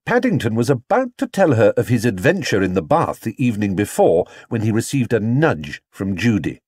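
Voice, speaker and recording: A man's voice: fairly low pitch, sing-song, moderate pace, a little mellow, breathy edge, dry recording, clean studio sound. A man reading a scripted children's story as an audiobook narrator.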